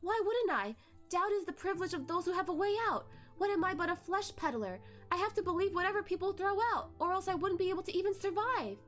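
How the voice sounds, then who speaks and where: A woman's voice: extremely high pitch, lively intonation, fast, a bit bright, soft background music, voice pushed up. A woman voicing a bitter, vengeful male ghost, a former courtesan, in a hateful, mocking, dramatic storybook read-aloud.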